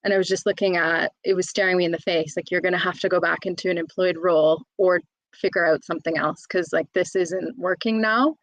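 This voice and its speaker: Woman solicitor, thirties, lowish voice, chatty and candid, dry close recording. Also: steady tone, fairly quick, no echo, poor audio. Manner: open, chatty, frequent fillers and self-restarts. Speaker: guest, self-employed employment solicitor. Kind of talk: candid conversational interview.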